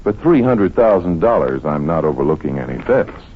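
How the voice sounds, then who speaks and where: An actor playing a genial, wry doctor investigating a case in an old-time radio drama, casual and teasing. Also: male voice, sits low, very animated, breathy edge, heavily acted. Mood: businesslike. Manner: casual, teasing, unhurried hesitations. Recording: tinny, noisy vintage radio recording.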